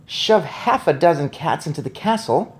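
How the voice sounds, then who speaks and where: Woman acting an excitable little mouse boy, higher-pitched. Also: normal speed. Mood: excited. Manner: excited, exclamatory, emphatic. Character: clever, eager young boy turned talking mouse. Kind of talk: storybook read-aloud.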